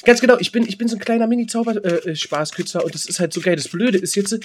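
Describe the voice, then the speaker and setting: A man chatting casually as a podcast co-host, repeating phrases excitedly as he explains. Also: high-pitched, quick pace, crowd noise. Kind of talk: casual chat.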